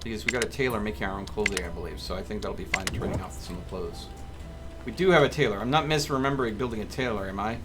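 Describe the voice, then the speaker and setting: A man doing live gameplay commentary as a streamer, chatting to his viewers and muttering to himself as he plays. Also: on the low side. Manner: talks to chat and mutters to himself. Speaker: game streamer.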